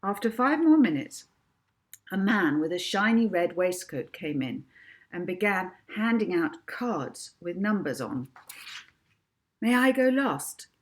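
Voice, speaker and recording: A woman reading a children's book aloud as an expressive narrator. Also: fairly low pitch, unhurried. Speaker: author reading own book.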